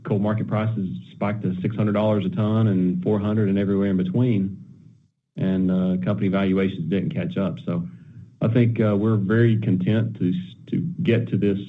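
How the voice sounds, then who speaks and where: A man answering as a company executive on an earnings call in a measured, matter-of-fact way. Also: fairly low pitch, little pitch movement. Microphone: telephone conference line. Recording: narrow, tinny conference phone line.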